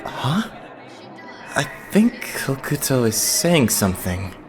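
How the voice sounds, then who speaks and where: A performer voicing a calm, polite, pious young churchman idol in an anime fandub, measured in manner. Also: mid-range voice, music in the background.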